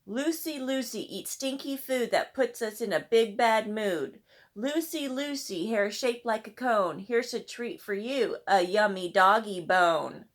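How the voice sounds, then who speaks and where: A mocking schoolboy bully from a storybook, played by a woman reader. The taunts are exclaimed loudly, with a lively pitch that jumps around. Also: a little slow. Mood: sing-song teasing. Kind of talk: children's storybook character voice.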